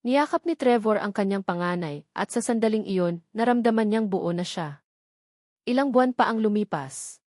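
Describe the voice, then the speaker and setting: A woman's voice: medium pitch, animated, normal speed, darkish tone, dry recording. Woman narrating a sentimental story, dry sound. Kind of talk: scripted dramatic story narration.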